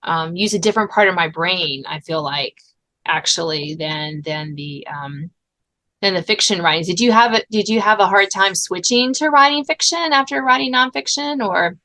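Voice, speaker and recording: A woman's voice: lowish voice, normal speed, bright, forceful tone. A woman hosting an interview show, talking in a chatty, informal conversational way. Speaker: podcast host interviewing an author.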